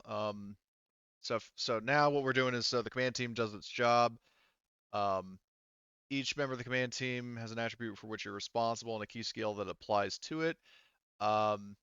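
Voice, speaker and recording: A man running a tabletop game as game master, explaining rules casually and haltingly as he thinks aloud. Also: mid-range voice, fairly bright tone, clean tone, no echo, lo-fi sound.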